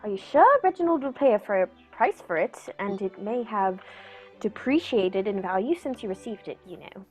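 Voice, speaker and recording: A woman playing a courteous, shrewd gentleman detective in a formal, measured radio-play manner. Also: medium pitch, expressive, faint music behind, natural voice. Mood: politely insistent. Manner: polite, measured, formal, knowing. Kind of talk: 1940s radio play acting.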